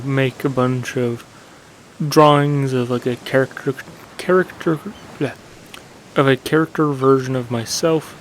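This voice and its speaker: Soft-spoken man musing about his channel. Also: mid-range voice, slight background noise, poor audio. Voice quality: soothing. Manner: meandering, self-correcting with fillers. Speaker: gaming channel host. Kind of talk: casual reflective monologue.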